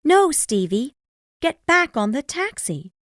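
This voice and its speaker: High firm young woman, as a man puts her on. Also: sing-song, slow pace, fairly bright tone, no room sound, clean studio sound, full character voice. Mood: stern. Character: young woman, firm, scolding sister and daughter.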